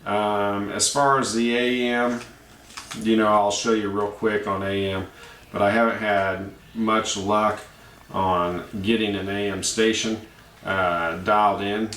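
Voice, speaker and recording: A man giving a casual, plain-spoken product review, explaining features step by step with hesitant pauses. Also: on the low side, little pitch movement, fairly bright tone. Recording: roomy echo, distant mic, faint noise.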